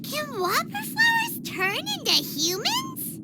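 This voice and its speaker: A woman voicing a tiny, excitable, childlike fairy companion in an anime game, squeaky and wide-eyed. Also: slow, heavy background noise, full character voice. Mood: puzzled. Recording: loud music behind the voice.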